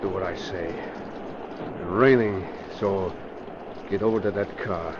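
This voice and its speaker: A menacing kidnapper from a vintage radio crime serial, with a fairly low voice. He barks curt, stilted orders through heavy noise and a dull, muffled recording. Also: fairly even intonation, unhurried. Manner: curt commanding, stilted phrasing. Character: menacing gunman villain, urgent, bossy male kidnapper. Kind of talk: old-time radio crime drama acting.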